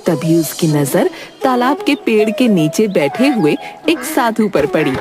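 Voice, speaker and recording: Female storybook narrator, lively intonation, medium pitch, scripted cartoon tale over music. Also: measured pace.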